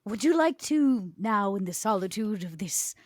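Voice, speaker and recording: A woman's voice: mid-range voice, animated, no room sound. Arch, formal woman in close dry sound. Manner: formal, arch, measured wording. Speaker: player in a tabletop role-playing game. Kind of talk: in-character improvised dialogue.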